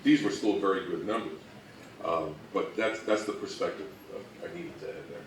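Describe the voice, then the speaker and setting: A man giving an informal, explanatory slide lecture as co-presenter, speaking conversationally and a little haltingly. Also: low voice. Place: room with echo, live presentation. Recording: echoey room from far off, faint noise.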